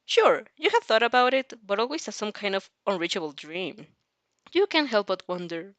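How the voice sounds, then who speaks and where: Animated woman reading stories aloud. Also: mid-range voice, moderate pace, dry recording. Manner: expressive, giggly, informal. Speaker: narrator reading fan fiction and reacting. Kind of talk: story narration with casual reactions.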